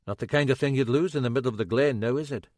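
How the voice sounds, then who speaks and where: A man voicing a steady middle-aged Scottish police sergeant with a plain, dutiful, matter-of-fact manner and a Scottish accent. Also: medium pitch, rapid-fire, no echo, clean studio sound, natural voice.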